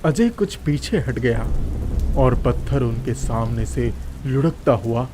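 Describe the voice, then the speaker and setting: A man's voice: mid-range voice, animated, slow, warm tone, a little hiss or hum. A man narrating a scripted children's moral story as a storyteller.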